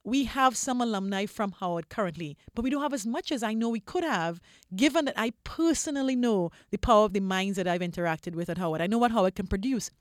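A woman's voice: medium pitch, animated, fast talker, no echo, clean studio sound. A woman from Trinidad speaking as an interview guest, explaining things in an articulate, persuasive way with repeated phrases for stress. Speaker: interview guest, corporate diversity executive.

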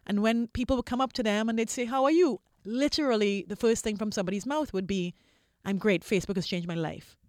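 A woman's voice: medium pitch, a little fast, a little mellow, no echo, clean studio sound. A woman from Trinidad speaking as an interview guest, explaining things in an articulate, persuasive way with repeated phrases for stress. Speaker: interview guest, corporate diversity executive.

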